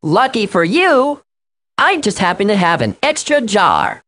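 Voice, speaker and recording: A woman's voice: mid-range voice, wildly swooping pitch, measured pace, a bit bright, dry recording, full character voice. A woman voicing an earnest little male animal in a children's learning game, with a precise, fussy manner. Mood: precise, helpful. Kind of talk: children's educational game acting.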